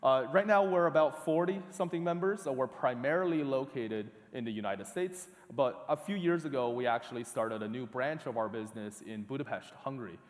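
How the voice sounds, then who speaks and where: A young man from northeast China, a conference speaker giving a talk with slides, halting and full of uh fillers and restarts. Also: mid-range voice. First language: Chinese. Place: large auditorium. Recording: long hall reverb.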